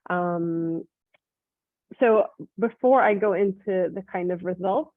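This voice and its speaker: A woman's voice: medium pitch, slow, no room sound. A woman with a mixed Scottish and Israeli accent, giving an explanatory webinar talk with many filler ums. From Scotland. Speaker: guest speaker, communications director. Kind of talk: webinar presentation. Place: home or office in Israel. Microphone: video call. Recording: dry, tinny phone-like line.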